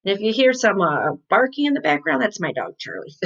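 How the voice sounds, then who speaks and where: Expressive woman, dull lo-fi sound. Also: mid-range voice, moderate pace, vocal fry. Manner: expressive, addressing young children. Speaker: teacher reading aloud to first graders. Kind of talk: children's storybook read-aloud.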